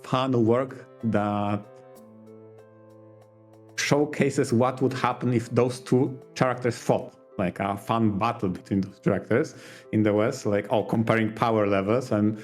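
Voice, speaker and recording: A man's voice: on the low side, steady tone, a little slow, soft background music. A man co-hosting a podcast, explaining things casually in careful, roundabout phrases. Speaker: podcast co-host.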